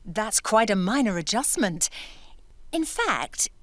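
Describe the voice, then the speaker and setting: A woman's voice: medium pitch, very animated, slow pace, dry recording. A woman acting in an audio drama as a shrewd, self-assured writer, speaking in a composed and pointed way. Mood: sceptical, challenging. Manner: composed, pointed, quietly assertive. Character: shrewd, self-assured woman writer secretly behind a male pen name.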